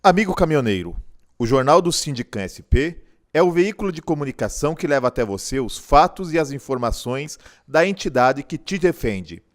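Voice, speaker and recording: A man's voice: fairly high pitch, big pitch swings, moderate pace. A man with a Brazilian accent reading a scripted advertisement as an announcer. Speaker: commercial announcer.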